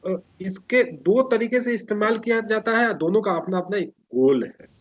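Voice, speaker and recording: A man with a high-pitched voice talks as a guest, explaining history in a conversational way. He is halting and often repeats a phrase before going on. The recording is muffled and lo-fi. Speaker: interview guest.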